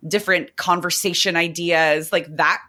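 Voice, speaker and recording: A woman co-hosting a teaching podcast, chatty and rambling, her voice slightly hoarse from the end of the school year. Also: fairly low pitch, normal speed. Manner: chatty, animated, rambling with fillers. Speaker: podcast co-host. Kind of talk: casual chat.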